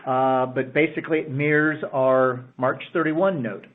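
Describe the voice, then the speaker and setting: A man's voice: medium pitch, steady tone, measured pace. A man giving an unscripted business update on a conference call. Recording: narrow phone line.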